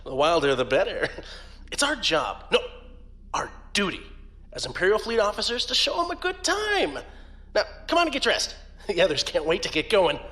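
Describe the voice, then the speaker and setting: A man's voice: high-pitched, very animated, moderate pace, pushed and bright, heavily acted. A man playing a brash, fun-loving young space fleet officer in an audio drama, with a casual, cajoling manner. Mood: cajoling, excited. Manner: casual, wheedling, swooping.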